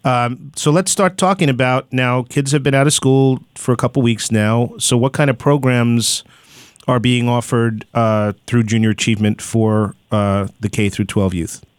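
A man's voice: mid-range voice, fairly even intonation, clean tone, no echo, clean studio sound. A man hosting a radio interview, talking with many hesitations and "uh" fillers. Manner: hesitant, fills pauses with "uh". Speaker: radio host.

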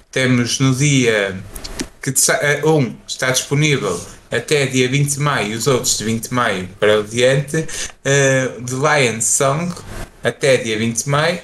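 A man with a European Portuguese accent co-hosting a casual gaming podcast, reading out release dates slowly and stumbling over English titles. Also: medium pitch, a bit bright, slight background noise. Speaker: podcast co-host.

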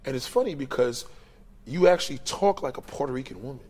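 A man's voice: mid-range voice, expressive, brisk, a little mellow, slightly rough. A man from New York with a New York accent, hosting a casual interview in a slangy, teasing way. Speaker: host.

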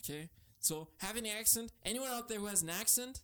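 A man's voice: sits high, lively intonation, fast talker, bright, forceful tone, clean tone. A man with a German accent, co-hosting a casual podcast chat, laughing often and repeating words as he searches for them. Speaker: co-host teaching German.

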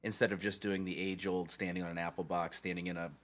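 A podcast host with a lowish male voice. He rambles through a story, stopping and restarting as he goes, in a casual chat. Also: flat delivery, fast talker.

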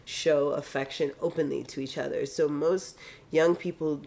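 A woman from Kenya speaks as an interview guest in a low-pitched voice that sounds congested. She is casual and reflective, explaining her points through examples. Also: steady tone, normal speed, vocal fry, a little mellow. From Kenya, of Tanzanian family. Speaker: interview guest, film director.

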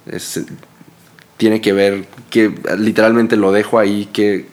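A man with a fairly low voice hosting a casual podcast conversation. He speaks earnestly and haltingly, often pausing to search for words. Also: flat delivery, a little mellow. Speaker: podcast host. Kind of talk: casual chat.

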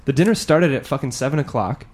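A man's voice: medium pitch, expressive, fast talker, darkish tone. A young man hosting a podcast in casual chat, swearing and joking with friends. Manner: swearing, laughing, jokey. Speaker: podcast host.

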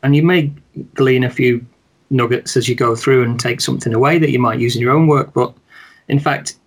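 A man talking as a guest in a casual chat, rather monotone, measured and hesitant with many restarts. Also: mid-range voice. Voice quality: somewhat monotone. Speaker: guest, wedding photographer.

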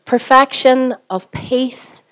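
Hoarse Northern Irish woman preaching. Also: high voice, takes it slowly, a bit bright. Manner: chatty, asks the congregation rhetorical questions. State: hoarse from a cold. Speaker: lead pastor. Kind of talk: sermon. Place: church service.

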